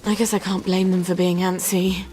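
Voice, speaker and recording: A woman with a British accent playing a brisk, defiant young chemist in a video game, glib and self-justifying. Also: on the low side, flat delivery, darkish tone, natural voice. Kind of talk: video game character acting. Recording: roomy echo with background noise.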